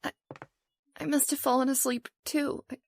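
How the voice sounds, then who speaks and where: Woman acting high-voiced, timid, anxious wife. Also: flat delivery, moderate pace, a little mellow. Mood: frightened, pleading. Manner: hesitant, fretful, pleading. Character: timid, anxious wife pleading with her husband. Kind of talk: old-time radio horror acting.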